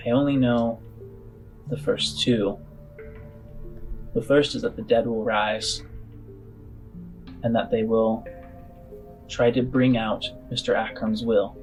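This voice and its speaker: A shrewd, skeptical woman in charge , played by a man in a tabletop game. The delivery is slow and deliberate, with halting, matter-of-fact explanations over background music. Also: medium pitch, fairly even intonation, a little mellow, lightly acted, poor audio. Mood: measured, serious.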